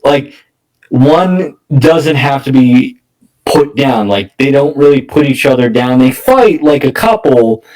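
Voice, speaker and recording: Male podcast co-host, mid-pitched, casual film talk, hesitant and self-correcting. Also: lively intonation, takes it slowly, a little mellow, poor audio.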